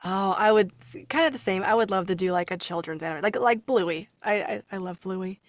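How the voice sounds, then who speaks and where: Female panel guest, mid-range voice, very dry recording, expressive casual talk. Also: mid-range voice, a little fast, dry recording. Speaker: voice actor on a podcast panel. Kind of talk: casual chat.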